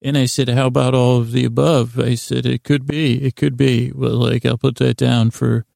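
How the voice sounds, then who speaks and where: Guy doing a rambly sleep podcast, super croaky mellow voice, keeps stumbling and trailing off into tangents. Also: mid-range voice, little pitch movement, dry recording, studio quality. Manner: halting, digressive, mumbly self-interruptions. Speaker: podcast host. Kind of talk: rambling, meandering bedtime podcast monologue.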